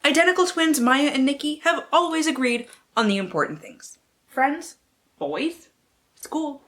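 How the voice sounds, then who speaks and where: A woman's voice: high voice, fairly bright tone. Theatrical, sweary woman book vlogger. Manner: swears freely and slangy; theatrical, playful delivery. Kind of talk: casual vlog with dramatic readings of book blurbs.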